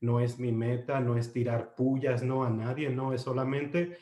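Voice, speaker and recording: A man's voice: medium pitch, steady tone, dark tone. Man teaching scripture in an echoey kitchen. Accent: Dominican Spanish with US English influence. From Dominican, raised in the United States. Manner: unhurried, hesitant, with frequent "eh" fillers and restarts; ends points with a questioning "¿no?". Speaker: Bible study teacher. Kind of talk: live-streamed Bible study. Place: at home near the kitchen. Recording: roomy home kitchen echo.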